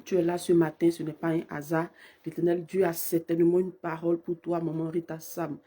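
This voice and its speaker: Woman prayer leader, hoarse from a cold; dark timbre, flat fervent repetitions. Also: lowish voice, fairly quick. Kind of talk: live-streamed morning prayer.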